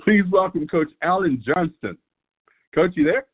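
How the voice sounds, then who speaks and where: A man's voice: high-pitched, lively intonation, a little slow, slightly rough. A man hosting a weekly conference call, introducing a guest in an upbeat, promotional way. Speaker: host. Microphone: telephone. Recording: tinny phone line.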